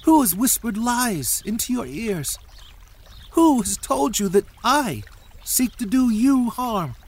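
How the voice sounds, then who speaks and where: A man's voice: very high-pitched, big pitch swings, takes it slowly, breathy edge, exaggerated voice. A man playing an impassioned, loyal young warrior who pleads with anguish in an earnest, formal Bible drama. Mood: anguished pleading. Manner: earnest, emphatic, archaic formal phrasing. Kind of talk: dramatized Bible story acting.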